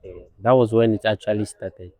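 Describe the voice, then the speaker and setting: Soft-spoken young man, low voice. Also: fairly even intonation, moderate pace, no room sound. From Ghana, born in Nigeria. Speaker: guest, fashion designer. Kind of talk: casual interview.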